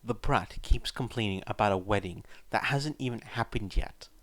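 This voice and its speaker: A man's voice: lowish voice, normal speed, fairly bright tone, no echo. A man reading a fan fiction story aloud as its narrator, in scripted narration.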